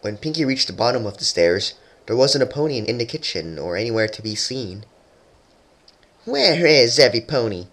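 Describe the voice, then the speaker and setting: A narrator giving an animated dramatic reading of a fan fiction story. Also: mid-range voice, fairly quick. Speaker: narrator and voice actor.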